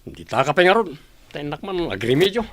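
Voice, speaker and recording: Man acting a high-pitched grumbling husband. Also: very animated, fast. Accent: Ilocano. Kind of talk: Ilocano radio comedy drama acting.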